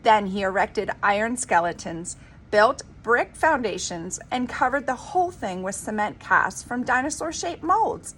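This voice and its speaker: A woman reading a children's story aloud in an expressive, dramatic, emphatic storybook manner. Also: a little high, unhurried, slightly rough. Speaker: reader of a children's book.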